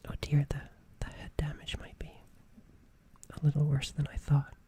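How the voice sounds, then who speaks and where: Gentle alien nurse played by a woman, whispered ASMR, hesitant and reassuring. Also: sits low, monotone, normal speed, lightly acted. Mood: reassuring, concerned. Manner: soothing, hesitant, repeats phrases softly. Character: gentle flustered alien nurse tending a crash survivor.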